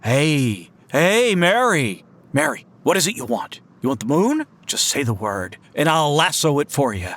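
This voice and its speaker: A man's voice: on the high side, big pitch swings, a little slow, fairly bright tone. A man playing an earnest, dreamy young small-town man in old-time radio drama style, stammering and emphatic. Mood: excited, dreamy.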